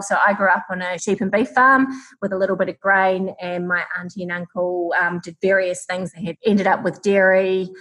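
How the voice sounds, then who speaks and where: A woman's voice: on the low side, a bit bright, rough recording. A woman with a New Zealand accent, answering conversationally as an interview guest who farms and founded a tech business.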